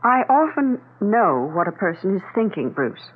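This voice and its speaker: A woman's voice: mid-range voice, very animated, normal speed, husky. An actress playing a worried, knowing middle-aged mother with telepathic gifts in a 1970s radio drama. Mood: earnest, insistent. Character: worried, telepathic mother aged forty-nine, recovering in hospital. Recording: tinny, phone-like vintage radio broadcast.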